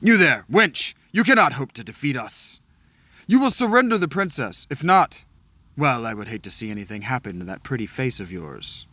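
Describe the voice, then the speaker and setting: A man's voice: mid-range voice, very animated, moderate pace, no room sound. A man voicing a cruel, threatening male enemy knight in a comic dramatic reading of a game's dialogue. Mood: menacing. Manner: menacing, loud.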